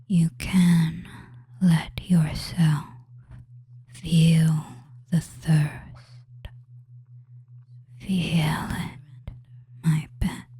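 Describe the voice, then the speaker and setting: A man voicing a tender, teasing, commanding femboy witch in a breathy whisper, slowly giving soothing hypnotic commands in an audio roleplay. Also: fairly high pitch, flat delivery, warm tone, full character voice. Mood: soothing, coaxing. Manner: slow, soothing, drawn-out phrases with commands.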